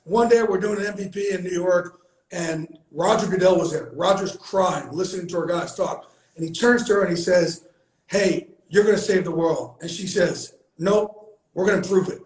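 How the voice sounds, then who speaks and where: A man's voice: sits high, moderate pace, a little mellow, echoey room, low-quality recording. A middle-aged man who hosts a candid conversation, talking bluntly and earnestly and swearing freely. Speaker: host, founder of a veterans and players group.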